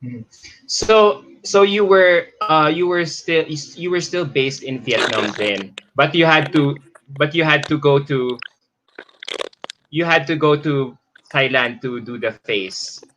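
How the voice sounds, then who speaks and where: A man hosting a conversational interview, framing long questions haltingly and repeating his phrases as he goes. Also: fairly high pitch. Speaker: live show host, interviewer. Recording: clearly noisy background with clicks.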